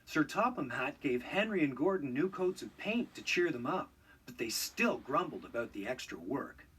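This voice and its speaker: A man narrating a children's read-along storybook in an expressive, scripted storytelling style. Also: mid-range voice, normal speed, breathy edge, distant mic.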